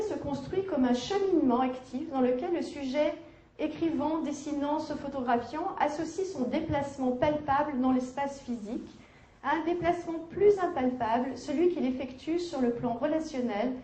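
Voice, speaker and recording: A woman giving an academic conference lecture, measured, with hesitations and self-corrections. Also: high voice, dark tone, slight background noise. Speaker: university lecturer. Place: lecture hall. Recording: echoey lecture hall.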